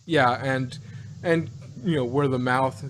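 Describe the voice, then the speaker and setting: A man in casual, rambling conversation on a noisy home recording with a hum behind him. He talks haltingly, often doubling back on his words, at an average pitch. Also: fairly even intonation. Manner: rambling, halting, repeats and restarts phrases. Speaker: podcast co-host. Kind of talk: casual chat, reviewing sci-fi.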